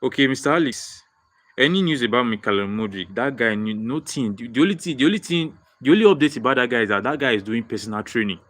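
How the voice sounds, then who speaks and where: Young Nigerian-accented man, animated fan-stream host who loops phrases, mid-pitched voice. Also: fast. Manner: excitable, repeats phrases, frequent restarts. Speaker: football livestream host. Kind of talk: live-stream fan chat reading viewer comments.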